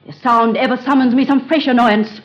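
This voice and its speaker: A woman's voice: on the high side, fairly even intonation, brisk, close to an everyday voice. An actress playing a haughty, bored and cruel queen of ancient Egypt, in 1930s radio drama style with archaic, imperious diction. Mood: weary, bored. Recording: dull old radio recording.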